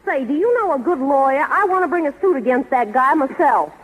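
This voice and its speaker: A woman's voice: high voice, lively intonation, brisk. A reverberant old radio recording of a sassy office secretary. She is brash and slangy, answering with open insolence and a grudge. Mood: disgruntled. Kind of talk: 1930s radio comedy acting.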